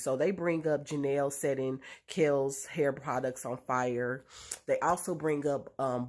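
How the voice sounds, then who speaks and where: A young woman with a congested, nasal voice from a cold, recapping a reality show in a chatty way with lots of ums and blunt slang. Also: low-pitched. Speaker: reality TV reviewer. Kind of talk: casual recap and opinion vlog.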